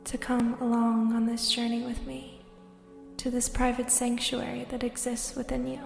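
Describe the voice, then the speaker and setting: A woman leading a guided meditation, speaking slowly and soothingly, sometimes dropping to a whisper. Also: on the high side, completely flat delivery, gravelly. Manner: slow, soft and soothing, drifting into whispers. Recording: roomy echo with long reverb and soft music.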